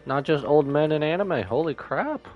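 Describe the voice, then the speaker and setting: A man's voice: a little high, expressive, moderate pace, narrow, tinny audio, soft background music. A man live-streaming a casual reaction to a game, commenting in a playful, slangy way.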